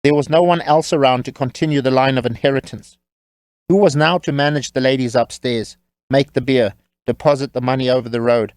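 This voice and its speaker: A man narrating a scripted audiobook story in a measured, wry storytelling manner. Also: medium pitch, fairly even intonation, darkish tone, no echo.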